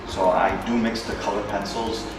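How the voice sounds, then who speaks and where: A man in his fifties from New York with a very low, deep voice walks through his own paintings in a gallery. He talks haltingly, full of pauses and fillers. He sounds a little off-mic in a strongly echoing room, with music playing behind him. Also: steady tone, far from the mic. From New York, USA. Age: 50s. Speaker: painter presenting his work. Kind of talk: gallery walk-through talk. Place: indoor art gallery.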